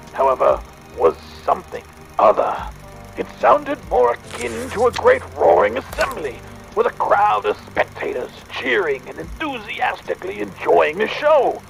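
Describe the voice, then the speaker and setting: A man playing a fussy, excitable Victorian gentleman inventor, speaking a pompous, wordy audio diary in a theatrical drama. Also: high voice, creaky, full character voice, low-quality recording. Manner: pompous, wordy, hesitant musing.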